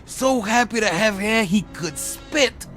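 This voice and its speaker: An actor playing a shabby down-and-out drinker in a television drama, rambling in loose slang. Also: male voice, high voice, lively intonation, takes it slowly, fairly bright tone, soft background music, heavily acted. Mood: chatty. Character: shabby down-and-out drunk man, jittery and chatty.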